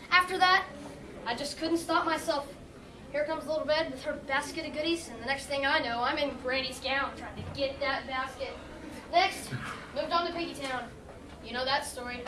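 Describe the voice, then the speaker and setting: A very high, theatrical storybook wolf, earnest and apologetic played by a woman. The stage comedy voice is heard far from the mic in an echoey hall with an audience. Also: background noise, close to an everyday voice. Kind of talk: youth stage comedy acting.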